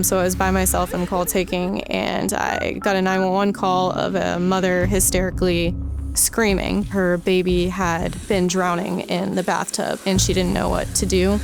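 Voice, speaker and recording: A woman's voice: medium pitch, creaky. A woman working as a dispatcher, telling her experience in an interview. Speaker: 911 dispatcher. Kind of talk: interview storytelling. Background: background music.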